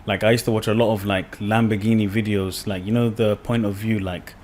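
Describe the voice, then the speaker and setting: A young man from the UK, a podcast guest, talking in a relaxed, rambling way with many fillers. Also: fairly low pitch, fairly quick, a little hiss or hum. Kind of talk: casual podcast conversation.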